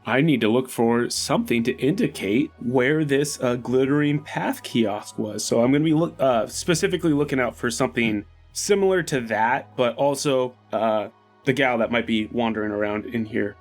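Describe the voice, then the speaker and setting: A man chats through his moves as a player at a tabletop game. His voice sits at a middle pitch, with music running behind it. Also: normal speed. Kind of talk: casual game-table talk. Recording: music under the voice. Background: background music.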